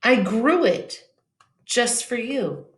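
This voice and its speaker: A prickly storybook cactus, acted out by a woman reading aloud, speaks slowly and curtly in an echoey room. It is a gruff little character from a children's tale, quick to exclaim. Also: on the high side, big pitch swings, full character voice. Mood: shy, eager. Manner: curt, exclaiming. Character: prickly, grumpy cactus who secretly feels lonely.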